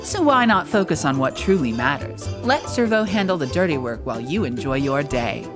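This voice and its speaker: An actress playing an upbeat infomercial pitchwoman, delivering an exclamatory, salesy scripted ad read. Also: very animated, moderate pace. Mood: gushing, upbeat. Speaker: commercial announcer. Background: loud music.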